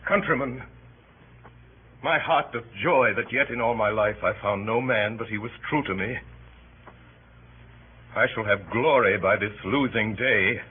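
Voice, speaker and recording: Defeated Roman general: low, noble, weary, declamatory; old scratchy radio drama sound. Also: male voice, breathy edge. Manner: solemn, measured, declamatory verse delivery. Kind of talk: 1930s radio Shakespeare acting. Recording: scratchy, muffled 1930s radio broadcast.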